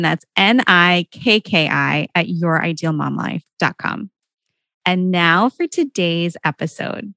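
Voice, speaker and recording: A woman's voice: medium pitch, a bit bright, no room sound. A woman hosting a parenting podcast, bubbly and enthusiastic, with a soothing voice. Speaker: podcast host.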